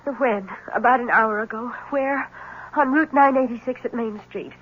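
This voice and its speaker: Nervous young wife in old radio mystery, breathy husky voice, hesitant n pleading, tinny phone-like sound. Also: female voice, on the high side, moderate pace. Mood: anxious, bewildered. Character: anxious young wife of a missing husband, fragile and devoted. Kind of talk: 1970s radio mystery drama acting. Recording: tinny, band-limited old radio broadcast.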